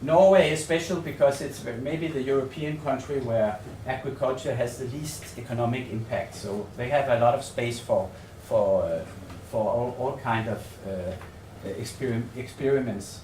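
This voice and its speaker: A man from Denmark with a Danish accent presents at a seminar as a researcher, speaking English as a second language in a halting, tentative way, thinking aloud and repeating words. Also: medium pitch, darkish tone. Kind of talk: semi-improvised conference presentation. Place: seminar room in a hotel. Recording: echoey room, distant mic, faint noise.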